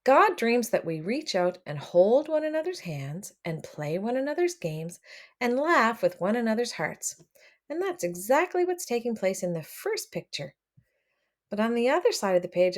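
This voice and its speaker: A woman reads a children's picture book aloud in a mid-pitched voice with a very animated, swinging intonation. She is expressive and speaks straight to her young listeners as a storyteller would. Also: moderate pace. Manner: expressive, addresses children directly.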